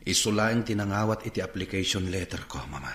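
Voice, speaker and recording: A man's voice: low voice, animated, fairly quick, fairly bright tone. A Filipino man acting a humbled young job-seeker from a fallen rich family in a radio drama, speaking hesitantly and politely. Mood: earnest. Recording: roomy, echoing sound.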